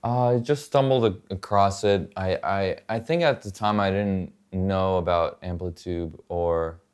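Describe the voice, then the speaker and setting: A man with a low, smooth voice talks casually as a guest musician. His delivery is laid-back and colloquial, with plenty of loose filler words. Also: measured pace, darkish tone. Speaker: guitarist and producer, interview guest. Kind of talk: casual demonstration and chat. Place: home studio.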